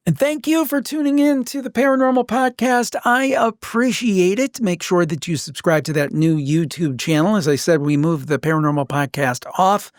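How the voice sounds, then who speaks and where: A man hosts an interview podcast with an encouraging, upbeat delivery. His voice sits a little high for a man. The sound is dry, with no room echo. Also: moderate pace, few pauses. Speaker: podcast host.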